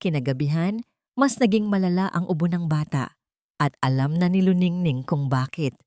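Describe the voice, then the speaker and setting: A Filipino woman narrates an audio drama in a low register. Her tone is dark and mellow, and she delivers the scripted story dramatically. The recording is dry and close, with no room sound. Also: normal speed, no room sound.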